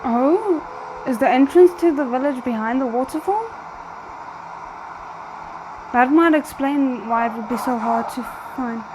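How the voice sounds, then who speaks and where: A woman's voice: sits high, measured pace, pauses often, background noise. A woman doing casual reaction commentary, thinking aloud in tentative asides as she watches. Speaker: reaction video host.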